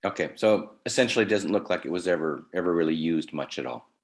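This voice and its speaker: A hoarse man, a city councilmember, asking probing questions during a council meeting, restarting his sentences as he goes. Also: sits low, animated, fast talker.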